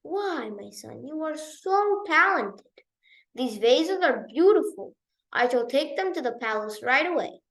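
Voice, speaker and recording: A woman's voice: high voice, sing-song, a little slow, reverberant, heavily acted, poor audio. A woman voicing a kindly, deferential master potter in a storybook, humble and a little hesitant. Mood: admiring.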